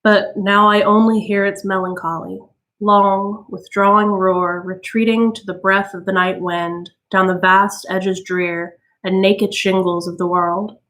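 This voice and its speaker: A woman voicing a man reciting a poem in a slow, measured way. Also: mid-range voice, little pitch movement, a bit bright, lightly acted. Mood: solemn. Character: fireman reading a poem aloud, stiff.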